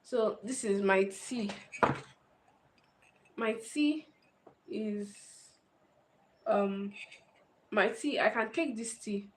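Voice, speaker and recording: A woman's voice: mid-range voice, extremely slow, pauses often, a little hiss or hum. Woman thinking aloud to camera. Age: 20s. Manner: thinks aloud, repeats and restarts phrases. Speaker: vlogger. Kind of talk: casual talk to camera.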